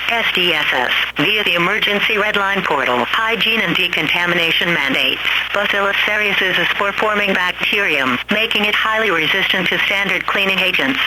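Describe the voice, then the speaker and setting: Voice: mid-range voice, heavy background noise. A synthetic-sounding announcer of unclear sex reading a scripted emergency alert in a formal, impersonal way with clipped headings.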